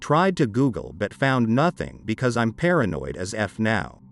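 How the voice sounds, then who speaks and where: Man narrating creepy stories, lively pitch. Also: normal speed. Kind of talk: scripted narration of horror greentext stories. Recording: dark ambient music behind. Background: dark horror background music.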